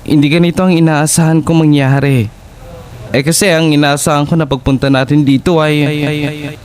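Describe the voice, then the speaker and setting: Worried young woman acted by a man, higher-pitched. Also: flat delivery, a little fast, slight background noise, lightly acted. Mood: uneasy. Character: worried, caring young female friend. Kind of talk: audio horror drama acting.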